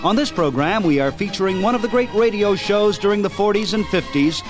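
Man, high pitch, radio host, scripted introduction, music behind. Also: lively intonation, a little fast.

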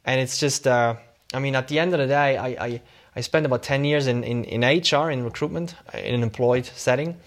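A man's voice: medium pitch, brisk. Rambling middle-aged Swiss man. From Switzerland. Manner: rambling, with many fillers and self-corrections. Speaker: guest, startup CEO. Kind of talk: casual interview answers.

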